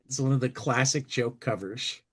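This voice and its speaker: A man hosting a podcast in casual chat, rambling and halting, often restarting his phrases. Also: mid-range voice, a bit bright, slightly rough. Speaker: podcast host.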